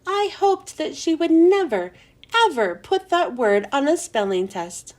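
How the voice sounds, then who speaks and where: A woman reading a children's chapter book aloud in a lively, expressive storybook manner. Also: sits high, a little slow.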